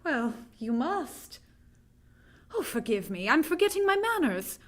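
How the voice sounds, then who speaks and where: A woman's voice: sits high, very animated, measured pace, fairly bright tone, exaggerated voice. A woman acting an anxious, inquisitive and polite young lady in an old-time radio drama, with an emphatic, questioning delivery. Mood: polite, curious.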